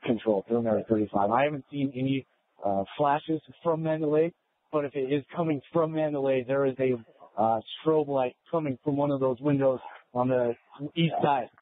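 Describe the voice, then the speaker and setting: A man's voice: mid-range voice, moderate pace, no echo. A male police officer reporting an emergency over police radio. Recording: narrow, tinny scanner radio, dry.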